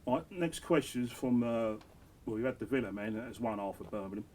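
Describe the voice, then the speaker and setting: A man's voice: medium pitch, animated, brisk, darkish tone, a little hiss or hum. A middle-aged man, hosting a casual interview, reading out viewers' questions and joking with his guests. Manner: jokey, blokey, reads out viewer questions.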